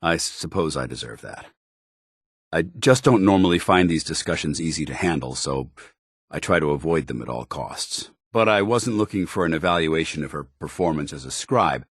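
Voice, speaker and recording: Very deep, stern military paladin. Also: male voice, expressive, husky, dry recording, studio quality, heavily acted. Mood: earnest, guarded. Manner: formal, measured military diction. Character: stern, dutiful, earnest armored military paladin, male soldier. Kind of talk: video game voice acting, companion dialogue.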